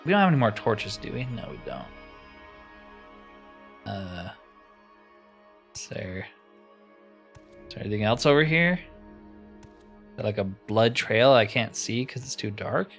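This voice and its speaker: A man's voice: lowish voice, big pitch swings, measured pace, pauses often, fairly bright tone, faint music behind. A man giving casual gameplay commentary on a fantasy roleplay game, musing aloud in a wry, conversational way.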